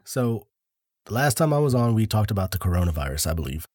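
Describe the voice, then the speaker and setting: Laid-back guy co-hosting a podcast, kinda low voice, dry close mic. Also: big pitch swings, fast, darkish tone, dry recording. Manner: laid-back, joking, playful. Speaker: podcast co-host. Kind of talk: casual podcast storytelling.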